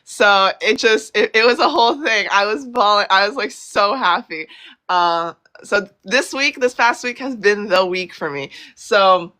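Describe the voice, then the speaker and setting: A woman's voice: mid-range voice, animated, measured pace, sharp tone. A young woman from Brazil, a guest in a casual interview, talking effusively with many fillers and restarts.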